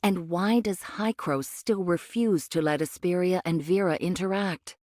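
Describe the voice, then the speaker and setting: An actress playing a condescending cyborg angel of a rebel faction in an anime game, speaking in a measured, cryptic way. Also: female voice, lowish voice, a little mellow, dry recording, studio quality. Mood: cool, provoking. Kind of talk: anime game voice acting, expository dialogue.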